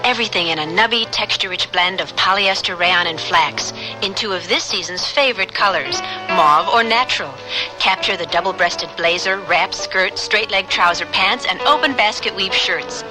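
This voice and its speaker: A woman's voice: medium pitch, normal speed, over music, rough recording. A woman giving a scripted radio commercial read as an announcer.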